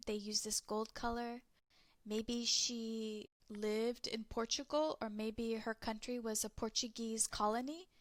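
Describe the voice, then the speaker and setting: A woman teacher talks her students through a lesson at a slow, careful pace. Her voice sits a little high. The recording is close and dry, with no room sound. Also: fairly bright tone, no room sound. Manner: slow, clear teacher talk explaining step by step. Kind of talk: recorded class lesson for English learners.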